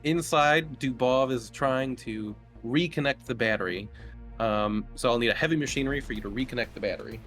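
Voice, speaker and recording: A man's voice: mid-range voice, brisk. A man casually narrating a tabletop game as game master, jokey and given to swearing. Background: soft music.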